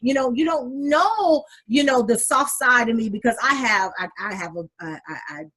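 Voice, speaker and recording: Husky, sassy woman chatting with flair. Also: a little high, normal speed. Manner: animated, sassy, calls listeners honey. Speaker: podcast co-host. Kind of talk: casual chat.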